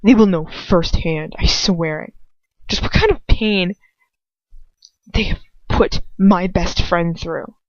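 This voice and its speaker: A woman's voice: fairly low pitch, slow pace, pauses often, no room sound. A woman narrating first-person fiction as an amateur audiobook reader, in an earnest, emotive, dramatic style. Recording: dull lo-fi home recording.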